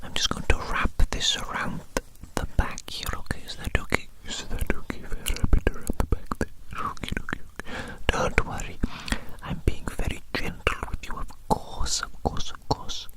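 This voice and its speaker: A man speaks entirely in a breathy whisper, as in an ASMR roleplay. His manner is gentle and soothing, and he often softly repeats short phrases to himself and the listener. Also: moderate pace. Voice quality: unvoiced whisper.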